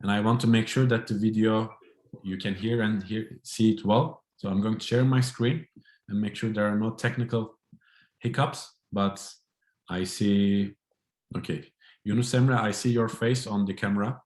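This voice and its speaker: A low-voiced man runs a training session over a video call. He explains in a friendly way, his voice echoing in a roomy space. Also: steady tone, moderate pace, darkish tone. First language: Turkish. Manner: friendly, explanatory, light laughter. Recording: roomy echo.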